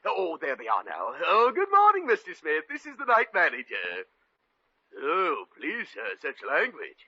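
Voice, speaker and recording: An obsequious, flustered night manager in a 1950s radio comedy. His voice is high and swings widely as he stammers deferentially. The recording is dull and old. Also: wildly swooping pitch, a little slow, sharp tone, breathy edge, full character voice.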